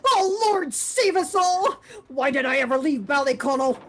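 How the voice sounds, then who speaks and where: A woman's voice: high-pitched, lively intonation, normal speed. A woman playing a superstitious, frightened sailor in a radio play, wailing dramatically. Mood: doom-struck dread. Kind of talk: amateur radio play acting.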